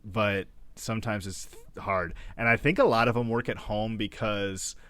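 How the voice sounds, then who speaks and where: Easygoing low-voiced man. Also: fairly even intonation, moderate pace, a bit bright, no room sound. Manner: easygoing, encouraging, swears casually. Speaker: podcast co-host. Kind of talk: casual chat.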